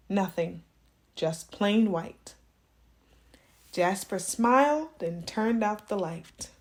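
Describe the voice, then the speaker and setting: A woman reading a children's storybook aloud with expressive, dramatic emphasis on key words. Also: medium pitch. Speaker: children's read-aloud host.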